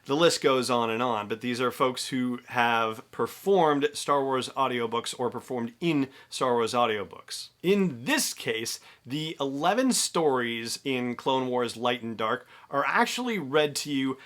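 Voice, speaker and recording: A man's voice: medium pitch, expressive, unhurried, few pauses, fairly bright tone. Chatty, enthusiastic man hosting a podcast. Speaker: podcast host and reviewer. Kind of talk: solo podcast review, conversational.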